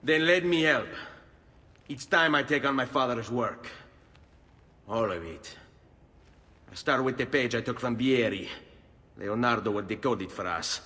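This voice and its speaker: A man's voice: medium pitch, animated, measured pace, pauses often, sharp tone, big-room sound. An actor playing a determined young Italian nobleman turned assassin in a game, with an Italian accent and an earnest, concise manner.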